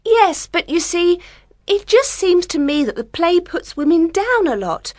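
A strong-willed young woman in a storybook, played by a woman narrator. Her voice is high and very animated, earnest and emphatic, full of feeling in a dry, close recording. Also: a little slow, no echo, full character voice. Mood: earnest, opinionated. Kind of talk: dramatised audiobook character voice.

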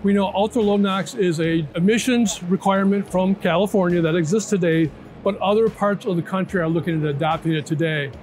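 A man's voice: high voice, brisk, darkish tone, slight background noise. A man in his fifties presenting a product in an interview, explaining step by step and checking in with the listener. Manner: explanatory, checks in with "right?" and "okay?". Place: large indoor trade show floor. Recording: music bed under trade show talk. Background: music.

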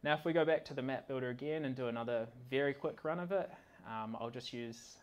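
Man giving an informal software demo. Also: medium pitch, quick pace. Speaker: conference speaker, software consultant. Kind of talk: informal technical demo presentation. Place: indoor meetup venue with large windows and projector screen.

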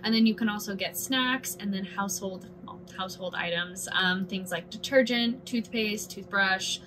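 A young woman chats casually to a camera in her small cabin bedroom, her voice neither high nor low. She talks with fillers and quick asides. A steady background hum runs under the recording. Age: 20s. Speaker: cruise ship singer and dancer. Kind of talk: casual vlog explainer. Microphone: camera in front at desk distance. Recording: noisy room with ambient hum.

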